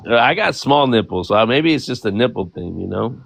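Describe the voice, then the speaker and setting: A man in his late thirties with a gravelly, fry-laden voice, chatting in a relaxed, profane way. He keeps checking in with his co-host as he talks. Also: mid-range voice, moderate pace, slight background noise. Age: 30s. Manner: laid-back, frank and profane, peppered with "you feel me". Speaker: podcast co-host. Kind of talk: casual chat.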